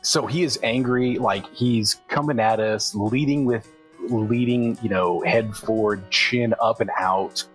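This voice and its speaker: Male tabletop player, distinctly low voice, loose gaming chat, music in the background. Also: fairly even intonation, unhurried. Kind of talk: casual game chat. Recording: music behind the voice.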